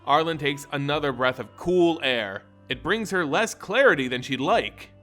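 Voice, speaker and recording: Expressive, higher-pitched male story narrator. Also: normal speed. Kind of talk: dramatic fiction audiobook narration. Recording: music behind the voice.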